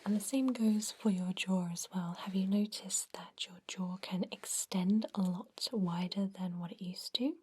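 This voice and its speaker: Slow whispering woman, ASMR doctor roleplay. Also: mid-range voice, fairly even intonation. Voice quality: breathy whisper. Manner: gentle, unhurried, explaining to a patient. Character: kindly female vampire doctor examining a new patient. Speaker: ASMR roleplay performer, playing a vampire doctor.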